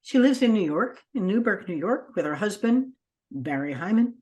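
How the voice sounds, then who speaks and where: A woman hosting a podcast, introducing her guest with an enthusiastic, expressive delivery. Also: mid-range voice, normal speed. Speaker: podcast host.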